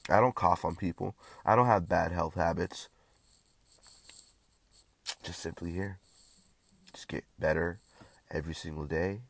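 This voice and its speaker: A man's voice: on the low side, pushed and bright. A young man vlogging casually with a stuffy, congested nose, rambling and swearing often with lots of restarts.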